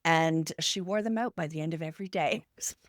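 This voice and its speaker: A woman's voice: low voice, a little fast, dry recording, clean studio sound. A woman hosting a podcast, sharing anecdotes and advice in a conversational way. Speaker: podcast host.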